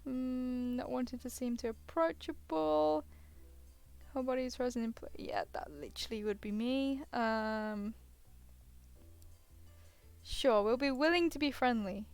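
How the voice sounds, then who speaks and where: A woman's voice: sits high, a little slow, gravelly, pauses often, smooth, no echo, soft background music. A woman gaming streamer reading a visual novel aloud, casually breaking off into hesitant asides and sounding tired. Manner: hesitant, self-interrupting asides. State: tired, yawning.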